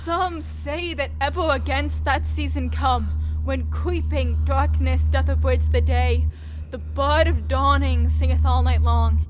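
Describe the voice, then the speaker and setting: A woman playing a solemn, reverent night guard, reciting in measured Shakespearean verse for an audio drama. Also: high voice, steady tone, slight background noise. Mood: solemn, wondering.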